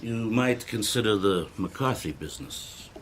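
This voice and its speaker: A man's voice: fairly low pitch, animated, unhurried, slight background noise. A man acting a haughty, exacting history professor in a radio drama, declaiming in a pompous, imperious way. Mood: brisk, imperious.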